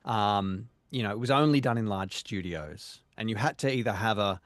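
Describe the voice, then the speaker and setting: Hesitant, measured man, dry sound. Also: lowish voice, no room sound. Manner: measured, hesitant, many ums and restarts. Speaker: voice actor guest. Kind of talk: casual interview conversation.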